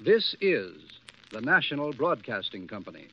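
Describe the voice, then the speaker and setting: A man's voice: mid-range voice, sing-song, moderate pace. A man making a formal scripted station announcement in vintage network radio style. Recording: muffled old radio recording.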